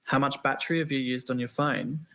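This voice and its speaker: A man speaking as a panelist, explaining points in a steady, list-like way. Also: mid-range voice, brisk, creaky. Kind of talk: panel discussion answers.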